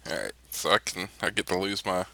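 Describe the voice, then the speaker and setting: A man chatting casually as a player in a tabletop game, with a gravelly voice. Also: sits low, expressive, brisk, pushed and bright. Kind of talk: casual chat.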